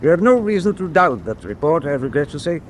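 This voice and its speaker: Commanding German tanker captain, wartime radio drama: fairly high voice, formal, curt, faint background noise. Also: male voice, very animated, fast talker. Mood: grave, resolute.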